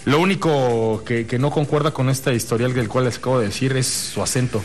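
Mexican male radio host, slightly low voice. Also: a little fast. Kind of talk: conversational radio hosting. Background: music bed.

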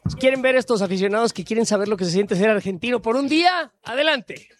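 A man's voice: very high-pitched, expressive, fast, music in the background. A man with a Mexican accent hosting a sports talk panel, joking and laughing often. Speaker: sports talk show host. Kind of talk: casual sports panel chat.

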